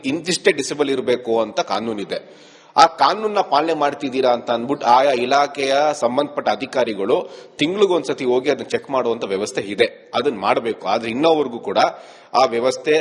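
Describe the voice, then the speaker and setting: A male activist leader with a Karnataka accent makes a statement in an interview. His mid-pitched delivery is emphatic and accusatory, with repeated phrases, and the sound has a long reverberant tail. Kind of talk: spoken statement to a news reporter.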